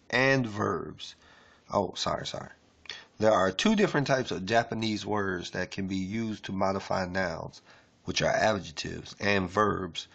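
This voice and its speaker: A man casually streaming as he works through a language lesson, rambling with fillers and reading aloud haltingly with restarts. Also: on the low side, gravelly, highs cut off. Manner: rambling, loose and unpolished, with many fillers. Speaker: self-taught learner reading a lesson. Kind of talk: casual screen-share stream.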